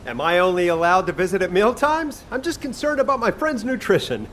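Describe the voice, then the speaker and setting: An actor playing an easygoing young chef in a video game, with a casual, teasing way of talking. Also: male voice, high-pitched, lively intonation, brisk, a bit bright, slight background noise. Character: easygoing, joking young male chef and soldier.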